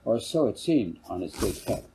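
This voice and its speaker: An older man narrates an audiobook in a low voice with a very dark, soft tone. The delivery is scripted storytelling, roughened by a gravelly husk. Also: sing-song, moderate pace, slight background noise, rough recording. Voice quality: gravelly, husky. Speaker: author reading own novel.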